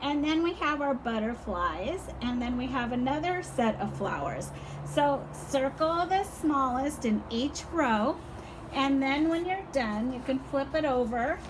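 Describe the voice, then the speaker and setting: An older woman teaching a small child outdoors, speaking slowly and patiently with encouragement, her voice going hoarse. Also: sits high, expressive, background noise. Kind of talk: home lesson for young children.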